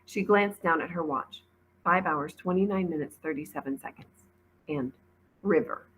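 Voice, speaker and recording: Lady teacher reading a storybook out loud, bright voice, bit of noise behind. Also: deep voice, moderate pace, low-quality recording. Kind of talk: storybook read-aloud narration.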